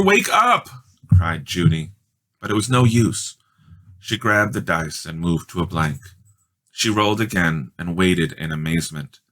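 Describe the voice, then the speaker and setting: A man reading a children's storybook aloud as a dramatic, expressive narrator. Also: lowish voice. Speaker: storybook reader.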